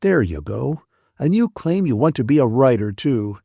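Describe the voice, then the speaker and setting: A man's voice: very animated, normal speed, creaky, dark tone, slightly rough, no echo, clean studio sound, full character voice. An audiobook narrator voicing a teasing, wisecracking young man who lectures his friend in a playful, mocking way. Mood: bantering. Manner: mock-lecturing, bantering, high and lilting. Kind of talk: audiobook character voice.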